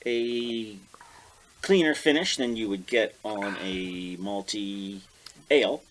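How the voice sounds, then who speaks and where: A man's voice: lowish voice, takes it slowly, a little hiss or hum. An American man co-hosting a podcast, chatting casually over a beer. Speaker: podcast co-host.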